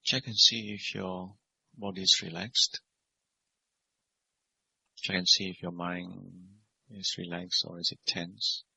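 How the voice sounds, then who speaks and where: A man teaching guided meditation as a Buddhist monk, speaking in measured, simple phrases and gentle questions. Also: low-pitched, flat delivery, gravelly, slightly rough. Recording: dull, lo-fi recording.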